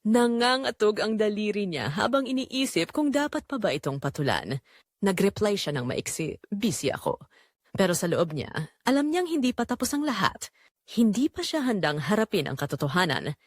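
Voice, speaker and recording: A woman's voice: mid-range voice, big pitch swings, moderate pace, no echo, studio quality. A woman with a Filipino accent narrating a scripted drama story in a dramatic style.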